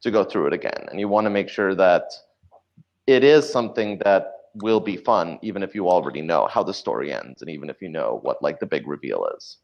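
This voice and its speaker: Low-voiced man in dull lo-fi audio. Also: lively intonation, a little fast, vocal fry. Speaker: podcast guest, audio drama writer. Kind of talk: conversational interview advice.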